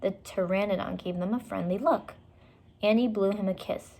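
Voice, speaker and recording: A woman's voice: medium pitch, moderate pace, creaky, dark tone. A woman storyteller narrating a children's book, with short explanatory asides for young listeners.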